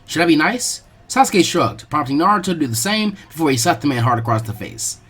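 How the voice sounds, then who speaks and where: A man reading fan fiction aloud as narrator, in an expressive storytelling style. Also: on the high side, fast talker, breathy edge, faint music behind.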